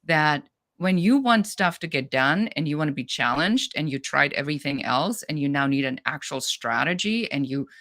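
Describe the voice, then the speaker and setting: A middle-aged woman with a German accent talks business in a blunt, straight-up manner. Her voice sits low for a woman. She speaks with lively conviction as an interview guest. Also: normal speed, few pauses. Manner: direct, no-nonsense, animated. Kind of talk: podcast interview.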